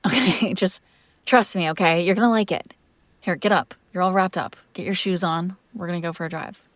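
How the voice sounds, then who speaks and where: A woman playing an affectionate, teasing and protective young vampire in a roleplay audio drama, speaking in a halting, casual, intimate way that drifts into breathy whispers. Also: lowish voice, no room sound, close to an everyday voice. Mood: gentle, coaxing.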